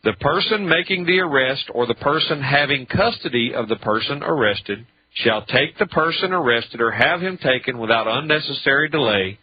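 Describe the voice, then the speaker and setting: A man's voice: mid-range voice, expressive, normal speed, few pauses, husky, no echo. An American man giving methodical, emphatic legal commentary as a talk radio presenter, spelling out each point step by step. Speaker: radio show commentator on traffic law. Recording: dull lo-fi talk radio audio.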